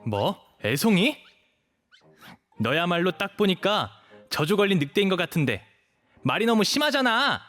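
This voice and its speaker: An actor playing a small, cunning stray puppy shapeshifter in an audio drama, cutely pleading and cockily muttering to himself. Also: male voice, medium pitch, unhurried, pauses often, faint music behind, close to an everyday voice. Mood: alarmed, indignant.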